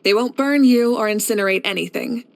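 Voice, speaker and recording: A woman playing a confident, kindly fire archon and war leader in a video game, speaking in an assured, measured, encouraging way. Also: a little high, vocal fry. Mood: warm, easygoing. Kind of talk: scripted video game character voice lines.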